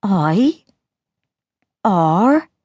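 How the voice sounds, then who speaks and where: A woman voicing a sharp-tongued young sister with mocking, quick retorts. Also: medium pitch, very animated, breathy edge, no echo, voice pushed up, heavily acted. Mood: curious.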